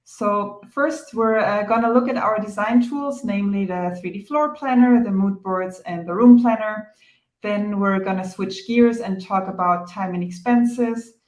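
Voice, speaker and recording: A woman with a German accent opens and steers an online training session, friendly and organized. Her middle-pitched voice carries some room echo over the video call, and small fillers dot her speech. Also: unhurried, darkish tone. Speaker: product marketing host. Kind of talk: webinar presenting. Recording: echoey room.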